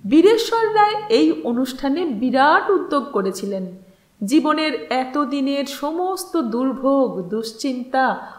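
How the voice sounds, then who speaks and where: A woman reading a literary story as an audiobook narrator, with measured, expressive phrasing and deliberate pauses. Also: sits high. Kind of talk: scripted dramatic storytelling narration of a literary novel. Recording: roomy, echoing voice recording.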